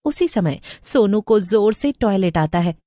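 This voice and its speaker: A woman's voice: medium pitch, expressive, unhurried, dark tone, no room sound, studio quality. A woman narrating a scripted horror story as the storyteller.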